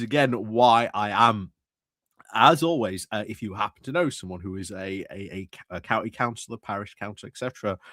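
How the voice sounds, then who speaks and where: A man's voice: mid-range voice, dry recording, studio quality. A man giving a casual, rambling livestream monologue about local politics, full of fillers, restarts and little chuckles. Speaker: political commentary streamer.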